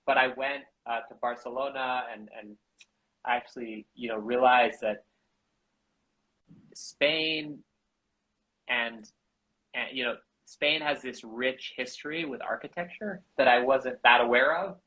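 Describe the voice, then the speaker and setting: Bright-voiced man on a lo-fi call. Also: medium pitch. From Canada and the US. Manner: halting, many restarts and fillers. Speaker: co-founder of a bamboo architecture school. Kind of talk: casual interview talk.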